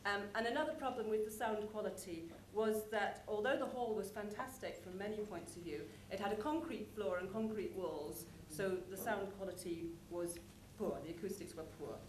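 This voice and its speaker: Female presenter, mid-pitched, conversational and explanatory, live in a reverberant room with background noise. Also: moderate pace, few pauses. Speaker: conference presenter. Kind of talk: explanatory presentation about a show.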